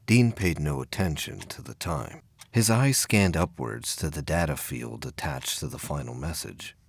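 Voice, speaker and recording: A man delivers dramatic, scripted audio play narration with intense suspense. His voice is extremely deep with heavy fry, moving through a lively range over a music bed. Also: normal speed, dry recording.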